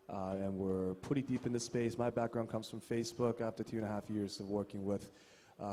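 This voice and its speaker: A man who grew up in China and Japan, speaking on a finance panel in a relaxed, slightly hesitant way. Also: on the low side, a little hiss or hum. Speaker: panelist, family office representative. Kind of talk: panel discussion.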